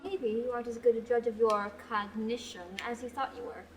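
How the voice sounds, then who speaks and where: A woman's voice: fairly high pitch, flat delivery, fairly quick, close to an everyday voice. A teenage girl in a student radio play, acting a teasing barmaid. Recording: distant from the mic.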